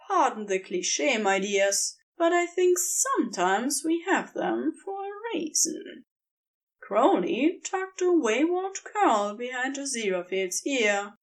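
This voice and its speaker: Casting: female storyteller for audio fiction, fairly high voice, slow measured delivery, expressive. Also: low-quality recording. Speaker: narrator. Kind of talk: scripted fiction narration, storytelling.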